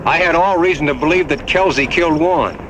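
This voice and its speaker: A man's voice: fairly high pitch, fairly quick, background noise, natural voice. Terse, defiant Western rancher played by a man. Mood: guarded. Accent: American Western. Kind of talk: Western drama acting.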